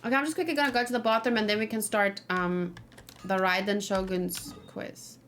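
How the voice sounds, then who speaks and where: A woman's voice: mid-range voice, steady tone, a little fast, a bit bright. A woman streaming a game, thinking aloud in casual chat and often muttering to herself. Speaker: gaming streamer, host. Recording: noisy, with keyboard typing and clicking. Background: background noise, computer keyboard and mouse clicks.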